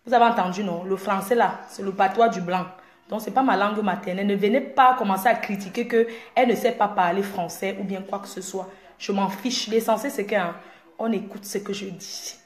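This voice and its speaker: A woman's voice: medium pitch, a little fast, roomy sound. A woman from Cameroon with a Cameroonian French accent, a blogger talking straight to camera in a boastful, confrontational, mocking way. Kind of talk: direct-to-camera rant.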